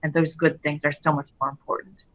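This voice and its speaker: This middle-aged woman talks with a bright, forceful voice. She speaks earnestly and expressively as an interview guest and often restarts her phrases. The audio is a little dull and lo-fi. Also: deep voice, flat delivery, fairly quick. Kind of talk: casual interview conversation.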